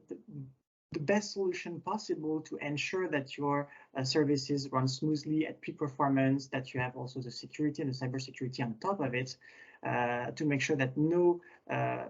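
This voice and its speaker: A man hosting a webinar who introduces the topic quickly, with many fillers and restarts. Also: mid-range voice, creaky, slightly rough. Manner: hurried, hesitant, many fillers. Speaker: webinar host. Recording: dull lo-fi webinar audio.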